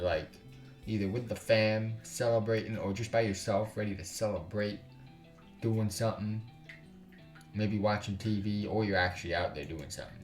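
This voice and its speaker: A man's voice: fairly low pitch, reverberant. A man hosting a game stream, mixing blunt, slangy commentary with reading the game's text aloud.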